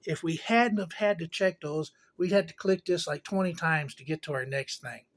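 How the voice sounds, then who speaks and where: A man's voice: a little high, moderate pace. A man giving a casual hands-on tech tutorial in a folksy, rambling way with informal grammar. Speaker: tutorial presenter.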